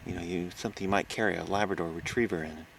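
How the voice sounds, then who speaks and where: Frightened male reporter for horror audio drama, played by an actor: low, creaky voice, stammering into his recorder outdoors. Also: steady tone. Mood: tense, watchful. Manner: halting, stammering, gasping exclamations. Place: outdoors on a farm. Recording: noisy outdoor location recording.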